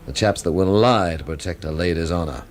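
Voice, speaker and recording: A man's voice: low-pitched, fairly quick, a little hiss or hum, lightly acted. An actor playing a wry, brooding, hard-drinking man who narrates and talks with dry, literate sarcasm in a radio drama. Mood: tipsy, sardonic. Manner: dry, sardonic, literate phrasing. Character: world-weary, wry hard-drinking male storyteller, brooding and sardonic.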